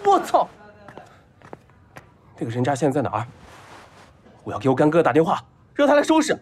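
Casting: spoiled young heir in love, clingy, naive, whiny, very animated pitch, for audio drama. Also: male voice, fairly quick, a little hiss or hum. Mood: outraged, bratty. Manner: eager, whiny, childishly earnest chattering. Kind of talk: Chinese audio drama acting.

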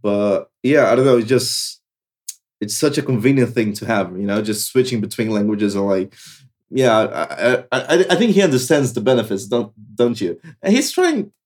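A man's voice: medium pitch, moderate pace. A young man from Brazil with a Brazilian Portuguese accent, a native Portuguese speaker chatting casually as a podcast guest and leaning on "like" and "you know". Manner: relaxed, leans on "like" and "you know" fillers. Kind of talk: casual chat. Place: travelling in Morocco, talking over a call.

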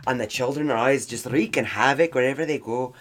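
A middle-aged woman co-hosting a podcast, telling a long funny story in a casual, animated way, stumbling over words and jumping into quotes. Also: extremely low pitch, fast talker. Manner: animated, jokey, lots of restarts and quoted voices. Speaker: podcast co-host.

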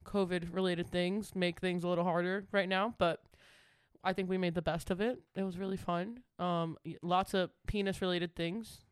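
A young woman co-hosting a podcast, chatting casually in a relaxed, rambling way. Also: fairly low pitch, normal speed, clear, resonant tone, dry recording. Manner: relaxed, chatty, hesitant with fillers. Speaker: podcast co-host. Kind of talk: casual chat.

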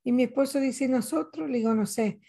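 A woman's voice: high-pitched, brisk, vocal fry, mellow, husky. An older Latin American woman talking in a casual conversation, earnest and reflective, telling personal stories. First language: Spanish.

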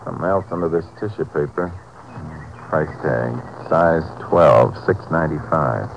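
A dull, noisy old radio recording of a calm Texas Ranger investigator. His American voice sits very low, and he speaks in a laconic, matter-of-fact way, in the manner of fifties radio drama. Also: normal speed, creaky. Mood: intent, matter-of-fact. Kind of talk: 1950s radio drama acting.

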